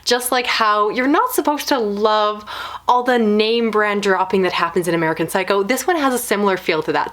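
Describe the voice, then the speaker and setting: A woman's voice: medium pitch, a bit bright. A woman reviewing books in a casual video style, talking in long, considered explanations. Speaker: book reviewer.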